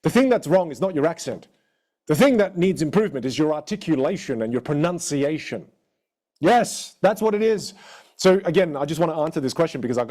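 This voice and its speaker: A man with an Australian accent coaching a live online audience in an energetic, encouraging way. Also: a little high. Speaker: communication coach.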